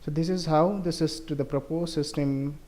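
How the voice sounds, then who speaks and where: A man with an Indian accent presenting a research project in an explanatory way, with halting, deliberate phrasing. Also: fairly high pitch, steady tone, a little mellow.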